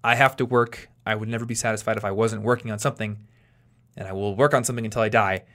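Man, late twenties, somewhat low voice, podcast host, chatty explainer, restarts often. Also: steady tone, fast, a bit bright. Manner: explanatory, thinking aloud with restarts. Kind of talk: casual podcast chat.

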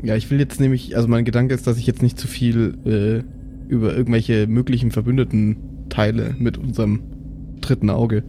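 A man's voice: medium pitch, little pitch movement, normal speed, noisy background. A man improvising casually as a player in a tabletop role-play audio drama.

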